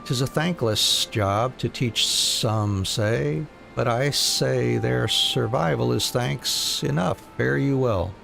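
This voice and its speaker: A man voicing a stern, proud rebel drill instructor in a fantasy game, deliberate and formal. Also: medium pitch, gravelly, music in the background. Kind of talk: reading fantasy game dialogue aloud.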